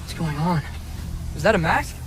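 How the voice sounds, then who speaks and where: A man's voice: on the high side, expressive, moderate pace, noisy background, close to an everyday voice. A man playing an anxious, frustrated teenage boy demigod in a video game cutscene. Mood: bewildered.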